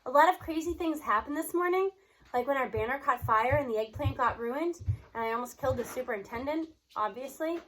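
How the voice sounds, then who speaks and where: A woman reading a children's novel aloud in an expressive storybook style, acting out the dialogue. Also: high voice, brisk, low-quality recording. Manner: animated, acts out the characters' dialogue.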